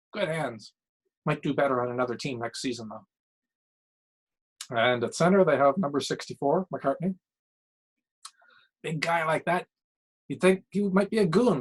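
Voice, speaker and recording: A man performs a blustery former hockey coach in the TV booth for an audio short story. He rants in short, slangy, emphatic sentences, full of strong opinions, with a clearly pushed commentator's delivery. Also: fairly high pitch, low-quality recording. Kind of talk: acted sports commentary in a short story reading.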